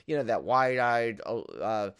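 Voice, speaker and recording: A man's voice: mid-range voice, very animated, unhurried, a bit bright, smooth, no room sound, studio quality. A man co-hosting a podcast in casual film-review chat, rambling and repeating himself with enthusiastic emphasis. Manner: rambling, repeats himself, piles up "like" and "you know". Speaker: podcast co-host.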